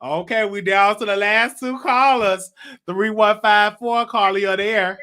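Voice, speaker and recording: Bright-toned host running a live call-in show. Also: male voice, sits high, steady tone, measured pace. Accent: Texan. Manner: laid-back, murmurs short agreements. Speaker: host taking callers.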